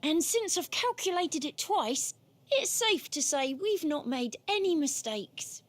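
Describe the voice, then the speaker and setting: A woman's voice: high voice, lively intonation, a little slow. A woman voicing a timid, humble young servant boy in an audio drama, speaking politely and deferentially. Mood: polite, shy. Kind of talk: video game audio drama acting.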